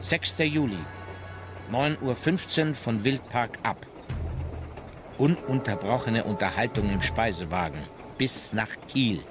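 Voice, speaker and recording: An actor playing an observant naval courtier recalling events like diary entries, in a measured, matter-of-fact way. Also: male voice, medium pitch, over music, lightly acted. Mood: sober. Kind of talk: diary-like recollection in a docudrama.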